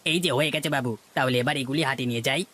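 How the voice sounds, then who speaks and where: A man's voice: medium pitch, steady tone, fast talker, sharp tone, slight background noise, lightly acted. A man voicing a deferential market stall helper in a cartoon, hawking fish and meat politely. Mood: obedient. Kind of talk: Bengali cartoon voice acting.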